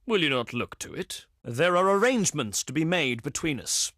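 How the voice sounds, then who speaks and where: An actor playing a haughty Roman diplomat of the Senate in a video game, speaking in a pompous, formal, oratorical and condescending way. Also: male voice, fairly high pitch, big pitch swings, moderate pace, a bit bright, dry recording, clean studio sound. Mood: smooth, condescending. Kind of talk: theatrical scripted game dialogue.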